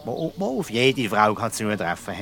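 An actor playing a shy, flustered and gullible private detective in a radio comedy, speaking hesitantly with a Swiss German accent. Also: male voice, fairly low pitch, very animated, moderate pace, creaky, a bit bright, heavily acted. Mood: chatty, flustered. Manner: hesitant, stammering. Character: bashful, flustered, gullible male private detective, easily charmed.

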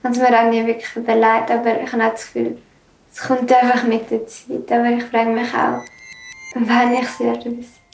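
A woman's voice: a little high, monotone, normal speed, gravelly, roomy sound, recorded from a distance, faint music behind. A teenage girl with a Swiss German accent talks casually and reflectively in an interview, searching for words.

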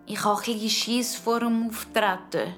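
A woman's voice: a little high, steady tone, moderate pace, a bit bright, soft background music, voice pushed up, lightly acted. A woman voicing an earnest, shy young boy in a children's story. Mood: nervous, curious.

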